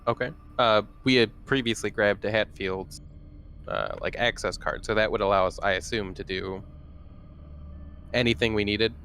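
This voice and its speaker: A man's voice: on the low side, steady tone, normal speed, a bit bright, a little hiss or hum. A man talking casually as a player in a tabletop roleplaying game, reasoning aloud and checking his assumptions.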